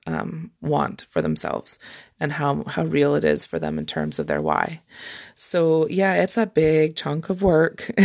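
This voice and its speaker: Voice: medium pitch, vocal fry. A life coach talking informally and encouragingly on a solo podcast, repeating key words for emphasis.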